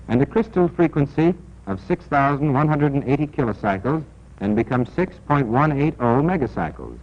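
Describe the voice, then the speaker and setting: Dull 1940s film recording, emphatic American male narrator. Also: mid-range voice. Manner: didactic, deliberate, emphatic. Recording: dull, band-limited 1940s film soundtrack.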